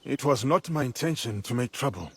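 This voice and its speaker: An actor playing an earnest, determined foreign warrior in a video game, speaking tersely. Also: male voice, mid-range voice, animated, a little fast, vocal fry, slightly rough. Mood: polite, subdued. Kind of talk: dramatic video game acting.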